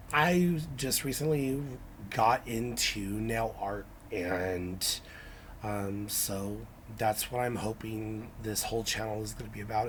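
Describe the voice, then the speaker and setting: Raspy, hoarse young man, slow-spoken. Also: lowish voice. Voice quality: raspy, scratchy. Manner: hesitant, many ums and restarts. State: hoarse from illness. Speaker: nail art vlogger. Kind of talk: casual how-to vlog.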